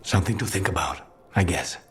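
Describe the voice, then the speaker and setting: A weary, proud man of few words, troubled and hard on himself. His voice is deep and subdued. Faint music sits behind it. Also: animated, fairly quick, a bit bright, husky, heavily acted. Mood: ashamed. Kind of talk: video game voice acting.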